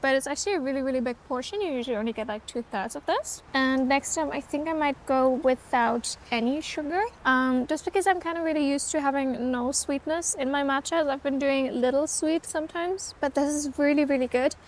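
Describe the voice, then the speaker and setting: High-voiced chatty young German woman. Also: brisk, few pauses, a little hiss or hum. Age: 20s. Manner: chatty, rambling, many fillers. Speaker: vlogger. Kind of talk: casual travel vlog. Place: city streets and small eateries. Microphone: phone camera.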